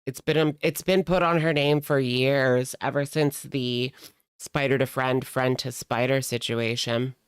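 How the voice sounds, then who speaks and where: A reaction streamer talks through commentary in a casual, sardonic, gossipy way. The delivery is unhurried, and the voice is clear and smooth at a medium pitch, with a dry, close sound. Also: fairly even intonation, no echo.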